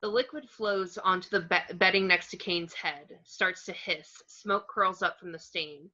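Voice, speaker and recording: A woman's voice: fairly low pitch, a little slow, pushed and bright, dull audio. A woman reading screenplay stage directions aloud as scripted narration.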